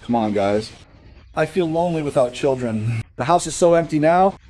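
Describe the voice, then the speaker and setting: A man's voice: a little high, animated, normal speed, warm tone, slight background noise, lightly acted. An actor playing a lonely, sad old man in an audio drama, mournful and pleading in manner. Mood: sad, wistful. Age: older.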